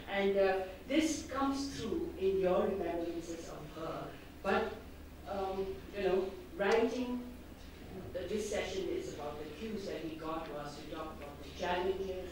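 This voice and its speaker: A woman's voice: fairly low pitch, noisy background. Woman, moderator, hesitant, filler-heavy, distant, echoey hall. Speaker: session moderator. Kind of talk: conversational questioning. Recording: echoey hall picked up from far off.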